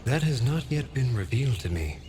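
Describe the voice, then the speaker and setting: A man's voice: medium pitch, very animated, mellow, slight background noise, lightly acted. Solemn, wise sword spirit voiced by a man. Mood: solemn mentoring. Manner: solemn, formal, measured. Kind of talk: video game voice acting. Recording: music and rain behind the voice.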